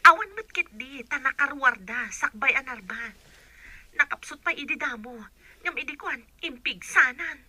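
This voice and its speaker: A woman's voice: high-pitched, expressive, normal speed, pushed and bright, heavily acted. An actress with a Filipino accent playing a chatty woman friend in an Ilocano radio drama. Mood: reporting news.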